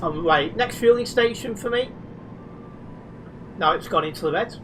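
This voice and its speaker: A man's voice: high-pitched, lively intonation, moderate pace, noisy background. A man chatting casually to viewers while live-streaming a driving game, reading and answering chat, a little sleep deprived.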